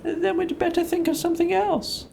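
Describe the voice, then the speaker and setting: A tiny young piglet from a storybook, speaks in a very high squeak. The little creature is timid and prone to stammer, yet earnest and plucky. Some ambient noise sits behind the voice. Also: male voice, little pitch movement, normal speed, gravelly, warm tone, exaggerated voice. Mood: anxious. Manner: nervous, stammering.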